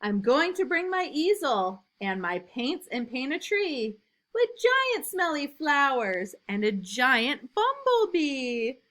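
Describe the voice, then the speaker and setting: A woman reading a rhyming storybook as an eager, imaginative little boy, in an emphatic sing-song with drawn-out line endings. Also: sits high, sing-song, a bit bright, pitched above the performer's own voice, heavily acted. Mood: eager, excited. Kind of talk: rhyming storybook read aloud.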